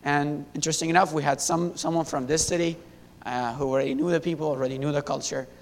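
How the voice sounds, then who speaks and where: A young man in his twenties from Syria, giving a halting church testimony full of fillers and restarts into a handheld mic. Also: fairly even intonation. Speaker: missionary. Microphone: handheld wireless mic. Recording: echoey hall, faint rumble.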